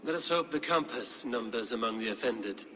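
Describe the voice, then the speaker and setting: A man playing a proud, battle-hardened Viking warrior in a game cutscene, speaking in measured, formal, poetic phrases. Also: medium pitch, lively intonation, a bit bright, lightly acted, low-quality recording. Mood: resolved. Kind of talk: dramatic video game cutscene acting.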